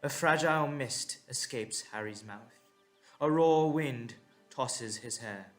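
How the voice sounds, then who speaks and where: Slow, suspenseful male audio drama narrator. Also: mid-range voice, soft background music. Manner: measured, suspenseful, with deliberate pauses.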